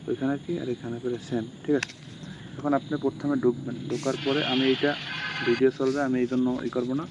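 A man rattles quickly through a phone tutorial, keeping his pitch flat and repeating himself as he goes. The sound is dull and lo-fi, as if captured on a phone mic. A steady insect-like hum sits clearly in the background. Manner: rambling, repeats "ঠিক আছে" between steps. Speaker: tutorial host. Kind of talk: casual screen-recorded walkthrough.

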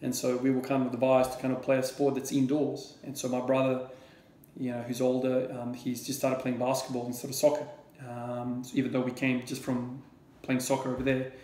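A man's voice: mid-range voice, monotone, brisk, a little mellow, roomy sound. A man in his thirties from Croatia, answering viewer questions to camera in a casual, rambling way with many fillers and repeated words. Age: 30s. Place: small room at home with shelves. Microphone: camera or laptop at desk distance.

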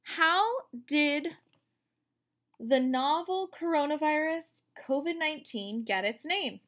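A woman in her thirties reading a picture book aloud to children, explaining as she goes in an expressive way. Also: high-pitched, takes it slowly. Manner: expressive, explanatory, addressing young listeners. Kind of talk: read-aloud storytelling for children with asides. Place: room at home, indoors.

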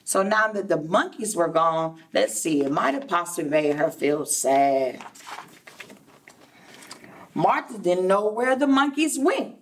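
A middle-aged woman, a preschool teacher, reading a story aloud to young children in a deliberate, explanatory way with repeated phrases and asides. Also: fairly low pitch, lively intonation, slight background noise. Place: indoor classroom. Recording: distant microphone.

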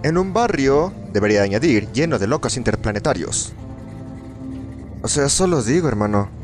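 A man's voice: mid-range voice, expressive, normal speed, music in the background, natural voice. A man voicing a nervous but cocky young male corporate worker in a fan dub of a game. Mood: chatty. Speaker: fan dubber.

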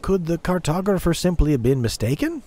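An eager young apprentice sailor, voiced by a male narrator in a lifted, brighter register. He speaks earnestly, with a lively, husky edge, like a storybook character. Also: fairly high pitch, a little fast, pitched above the performer's own voice.

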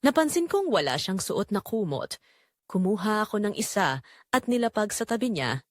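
A woman's voice: medium pitch, big pitch swings, normal speed, no room sound, studio quality. A woman reading scripted first-person narration for an audio drama, in the role of a middle-aged mother telling her story.

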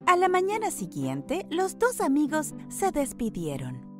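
A woman narrating a scripted children's story with an expressive storyteller's delivery. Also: high voice, measured pace, over music.

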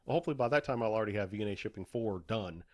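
Male interview guest, slightly low voice, dry recording, explains casually with little quoted examples. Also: animated, racing, no echo. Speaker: author, podcast guest.

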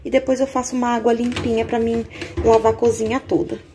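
A woman's voice: fairly high pitch, little pitch movement, a little fast, noisy background. A woman in her thirties chatting casually to her viewers while cleaning a small home kitchen, filming on a handheld phone and checking in often with "okay?". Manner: chatty, uses lots of diminutives and "tá?". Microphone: handheld phone camera.

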